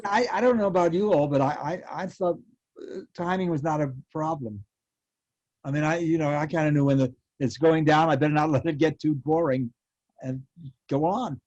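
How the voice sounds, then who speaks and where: A man's voice: a little high, moderate pace, darkish tone, no room sound, muffled recording. A man chatting casually on a panel, laughing easily.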